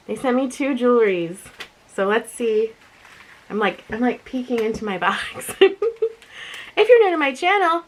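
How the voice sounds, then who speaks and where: A woman with a slightly raspy voice, chatting casually and thinking aloud as she presents an unboxing video with giggly asides. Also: sits high, rough recording. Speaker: unboxing and review vlogger.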